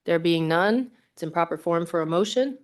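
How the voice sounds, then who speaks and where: A woman's voice: on the low side, animated, normal speed. A woman chairing a formal public meeting in a procedural, courteous manner. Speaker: commission chair.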